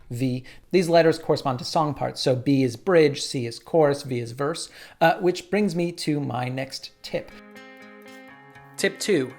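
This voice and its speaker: A man casually explaining his songwriting workflow in a relaxed tutorial, with frequent "uh" and "like". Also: medium pitch, gravelly. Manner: relaxed, lots of "uh" and "like".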